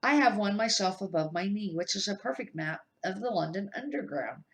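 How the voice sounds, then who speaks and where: A woman voicing a wise, composed male wizard professor in a storybook reading, measured and matter-of-fact. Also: medium pitch, lively intonation, close to an everyday voice. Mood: calm. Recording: roomy home echo.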